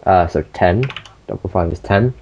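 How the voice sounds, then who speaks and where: A man's voice: sits low, moderate pace, gravelly, darkish tone. A man voicing a flustered, hard-of-hearing foreign old woman who keeps mishearing numbers over a muffled phone line. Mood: confused.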